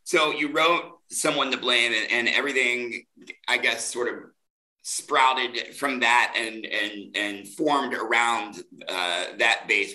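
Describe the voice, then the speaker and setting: A man's voice: fairly low pitch, sharp tone, rough recording. A middle-aged man hosting a casual chat interview as a podcast host.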